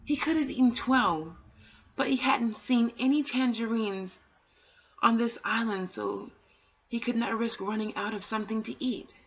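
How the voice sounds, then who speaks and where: A woman with a slightly husky voice reads a storybook aloud as bedtime narration, unhurried, pausing between phrases. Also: fairly high pitch, a bit bright. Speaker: amateur bedtime story reader. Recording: dull, lo-fi home recording.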